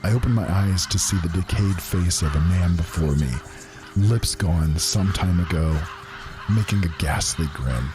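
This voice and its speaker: A haunted, sorrowful man , played by an actor, tells a tale of dark fantasy horror. His voice is extremely low and his phrasing solemn, archaic and broken by pauses, with music playing softly underneath. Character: haunted, grief-stricken man recalling horrors of undead. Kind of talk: dramatic first-person fantasy narration.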